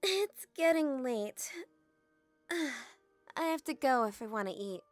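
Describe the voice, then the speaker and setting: Spirited young huntress played by a woman, high and very animated, fantasy audiodrama with music beneath. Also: takes it slowly, no echo, exaggerated voice. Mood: brisk, teasing. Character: spirited young huntress, protective big-hearted sister. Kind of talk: audiodrama acting.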